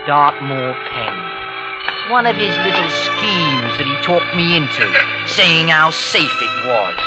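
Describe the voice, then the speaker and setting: Sullen, high-pitched young London ex-convict. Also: male voice. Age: young adult. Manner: sullen, sardonic. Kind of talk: 1940s radio acting, dramatic narration. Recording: muffled old radio recording, loud music.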